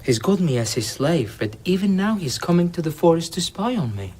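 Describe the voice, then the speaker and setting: A man's voice: a little high, very animated, a little fast, dark tone. An actor playing an enslaved young foreign man in an audio drama, defiant and questioning. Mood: challenging. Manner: challenging, pointed. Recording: clear background noise.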